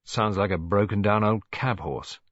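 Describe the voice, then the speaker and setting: A man's voice: on the low side, normal speed, no room sound, dull audio, natural voice. A man voicing a cocky, slangy young boyfriend, brash and dismissive, in an audiobook story. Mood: scornful.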